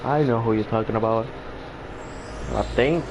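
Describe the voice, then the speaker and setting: A man's voice: mid-range voice, normal speed. A man giving casual, off-the-cuff commentary as he plays a video game, on a muffled, noisy recording. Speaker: gamer.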